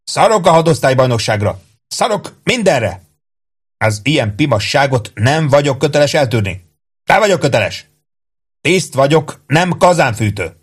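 A man's voice: medium pitch, animated, slightly rough, voice pushed up. A man voicing a hot-tempered older army captain in an audiobook, with an indignant, emphatic, huffing manner. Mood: furious, bellowing.

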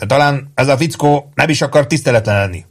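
A man's voice: mid-range voice, pitched above the performer's own voice, lightly acted. A man voicing a hot-tempered older army captain in an audiobook, with an indignant, emphatic, huffing manner. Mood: calming, resigned.

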